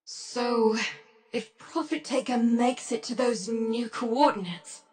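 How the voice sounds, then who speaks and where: A woman's voice: a little high, slow, darkish tone, rough recording. A woman playing a tough, determined rebel leader in a video game, giving terse, intense, commanding mission orders. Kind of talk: dramatic game mission dialogue.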